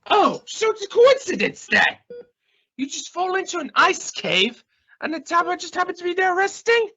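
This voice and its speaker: Man playing an exasperated, sharp-voiced space crewman. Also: very high-pitched, sing-song, normal speed, muffled recording, heavily acted. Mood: exasperated, sarcastic. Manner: emphatic, questioning. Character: exasperated, suspicious male space crewman interrogating a colleague. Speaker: amateur radio play actor. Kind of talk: amateur radio drama acting.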